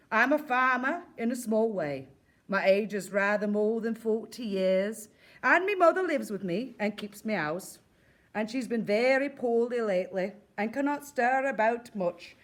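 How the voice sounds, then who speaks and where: A woman voicing a timid, earnest middle-aged farmer, hesitant and humble, in a staged comic letter reading. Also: fairly high pitch. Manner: hesitant, humble, plain-spoken rustic.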